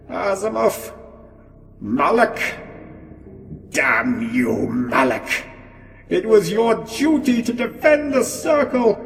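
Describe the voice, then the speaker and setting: An echoing, distant voice over music, a broken, vengeful old-world sorcerer in a gothic audio drama. He is anguished and theatrical, painfully slow, with a creaky voice and wide swings in pitch. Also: a bit bright, full character voice. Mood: bitter fury. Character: grief-maddened male ancient sorcerer, vengeful and broken. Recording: echoey, distant, music underneath.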